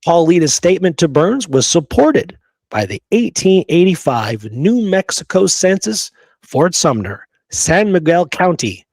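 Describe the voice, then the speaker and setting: A man's voice: fairly high pitch, big pitch swings, a little slow, a little mellow, no room sound. Emphatic man presenting history research, dry sound. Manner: emphatic, checks in with rhetorical right and okay. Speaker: history show host. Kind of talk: presenting research to listeners, partly reading prepared notes.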